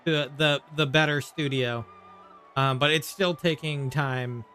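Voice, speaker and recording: A man's voice: a little high, steady tone, a little slow, bright, forceful tone. A man giving casual let's play commentary as a gaming streamer. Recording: game music behind the voice. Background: faint video game music.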